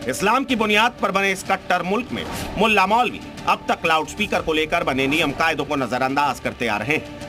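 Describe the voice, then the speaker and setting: A male news anchor reading a pointed, rhetorical scripted voice-over. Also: on the high side.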